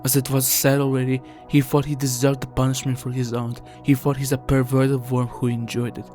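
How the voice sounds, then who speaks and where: A man's voice: mid-range voice, completely flat delivery, a little fast, a little mellow. A man reading scripted video essay narration in an earnest, somber, explanatory way. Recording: music under the voice.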